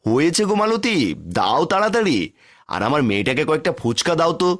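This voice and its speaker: Man acting a lively, humble cartoon street vendor. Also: on the high side, quick pace. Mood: cheerful. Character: humble, kindly, honest male street-food vendor and father.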